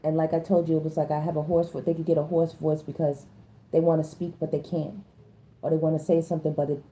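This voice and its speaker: A hoarse woman with a deep, very mellow tone and a lowish voice gives a casual tarot reading. She talks chattily to her viewers, calls them y'all, and pauses now and then to clear her throat. A faint hum of noise sits behind her. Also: low-quality recording. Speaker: tarot reader.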